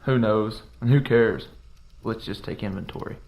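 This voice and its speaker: A man's voice: fairly low pitch, normal speed, lo-fi sound, lightly acted. A man playing a greedy, cynical middle-aged uncle in an audio drama, sardonic, impatient and irreverent. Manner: sardonic, dry. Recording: music behind the voice.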